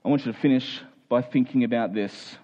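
A man's voice: medium pitch, fairly quick, warm tone, dull audio. A man preaching a sermon in an earnest, exhorting manner, hammering short phrases home through repetition. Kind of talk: sermon with scripture reading and prayer.

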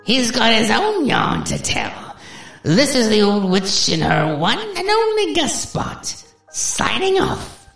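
A woman playing a gleefully wicked old witch hostess in a horror radio play, with a theatrical, taunting, drawn-out delivery. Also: a little high, very animated, fairly bright tone, roomy sound, soft background music, full character voice. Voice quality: creaky cackling witch voice.

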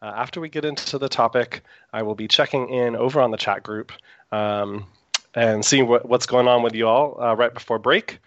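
A man's voice: mid-range voice, normal speed, dry recording. Man, radio talk host, sore throat, meandering chatty delivery, dull dry recording. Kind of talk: live internet radio talk show.

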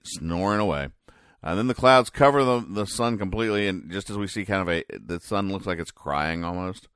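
A man hosting a podcast, recapping and explaining in a casual, deadpan, mock-serious way with halting, hesitant delivery. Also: deep voice, no room sound, studio quality. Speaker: podcast host. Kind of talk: casual comic-strip recap commentary.

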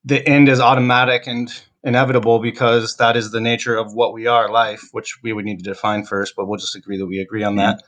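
A man in a casual podcast discussion, reasoning through a philosophical point in halting, searching phrases. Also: fairly low pitch, level tone, a bit bright, rough recording.

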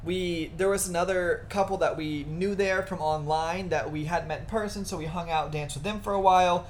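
A young woman co-hosting a podcast, recounting a night out in a relaxed, easygoing way. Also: fairly low pitch, moderate pace, slight background noise, rough recording. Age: young adult. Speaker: podcast co-host. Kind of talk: casual storytelling chat.

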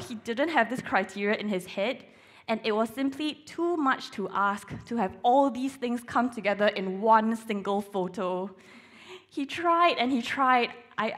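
A woman in her twenties, originally from Singapore, speaks to a church congregation. She tells her stories with teasing, self-mocking humor, pitched in a middle range for a woman. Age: 20s. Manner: playful, self-mocking storytelling. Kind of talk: humorous sermon talk.

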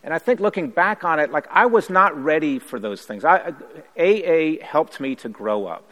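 A man who is a priest and pastor, whose first language is Haitian Creole, giving an earnest, reflective personal testimony in a measured way. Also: a little high, expressive, a bit bright. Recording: reverberant hall.

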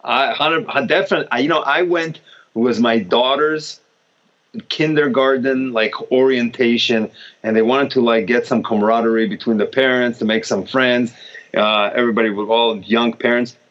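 A man from Israel in a casual chat, his voice at a middle pitch, heard through a slightly dull, lo-fi recording. He tells stories with lots of restarts, and his pitch leaps up when he gets excited. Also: moderate pace. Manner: animated storyteller, many restarts, pitch jumps when excited. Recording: dull lo-fi sound.

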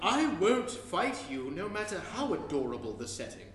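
Man playing a high-voiced, exasperated retired spy. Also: very animated, normal speed. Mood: reluctant. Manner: earnest, sardonic, resigned. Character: weary, exasperated male retired spy, gloomy and reluctant. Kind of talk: live staged reading of comic play. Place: live performance in a room. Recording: roomy live recording, faint noise.

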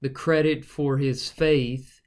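A man's voice: medium pitch, flat delivery, takes it slowly, a little mellow. A man preacher co-hosting a casual Bible study podcast, thinking aloud with fillers and restarts.

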